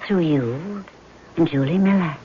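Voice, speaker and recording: An actress playing a vengeful, weary female ghost in a vintage radio drama, speaking in a haughty, commanding, deliberate way. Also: sits low. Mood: weary, resolute. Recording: muffled vintage radio recording with hiss.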